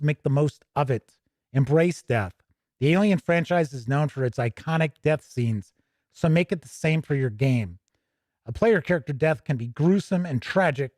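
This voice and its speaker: A man's voice: fairly high pitch, darkish tone, no echo, studio quality. A man hosting a tabletop gaming show, chatting casually with asides as he reads aloud and comments on a livestream.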